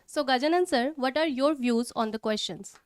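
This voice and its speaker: A woman's voice: high-pitched, moderate pace, smooth, dry recording, clean studio sound. A woman with an Indian accent hosting an educational discussion in a deferential manner. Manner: deferential, frequent "yes, sir".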